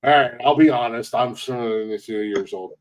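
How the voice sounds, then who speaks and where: A man's voice: medium pitch, normal speed, darkish tone. Rambling man in his forties. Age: 40s. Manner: rambling, restarts often, laughs at own jokes. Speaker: podcast host. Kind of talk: casual podcast chat.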